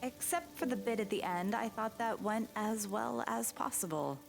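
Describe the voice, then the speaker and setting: A woman's voice: a little high, moderate pace, gravelly. A woman playing a practical, thoughtful mother in a comic dub, reflective and understated. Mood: subdued.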